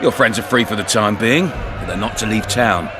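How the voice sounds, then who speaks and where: An actor playing a brash, scornful town commandant in a video game, coarse and menacing. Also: male voice, on the low side, over music, a crowd in the background, natural voice. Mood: sly, menacing. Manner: barking, scornful, slow deliberate delivery.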